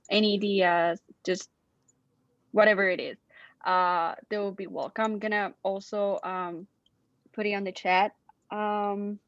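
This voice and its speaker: A woman's voice: medium pitch, no room sound. A young woman from Spain with a Spanish accent, effusively closing a student event on a video call, with many restarts. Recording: dull lo-fi call audio.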